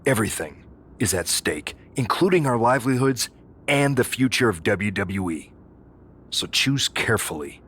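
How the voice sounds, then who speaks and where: A man's voice: on the low side, very animated, moderate pace, fairly bright tone, slight background noise. A man playing a serious, supportive wrestling mentor in a video game cutscene. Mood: grave.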